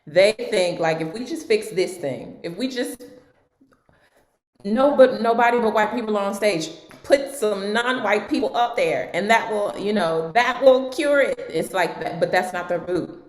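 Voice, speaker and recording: A woman's voice: medium pitch, lively intonation, measured pace. A woman moderating a roundtable over a video call, speaking earnestly and with emphasis. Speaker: moderator, actress. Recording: echoey room on a video call.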